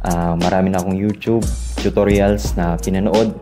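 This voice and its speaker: A man with a Filipino accent giving a casual hands-on tutorial as a vlogger, halting as he searches for words and mixes in English terms. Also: low-pitched, fairly even intonation, music in the background.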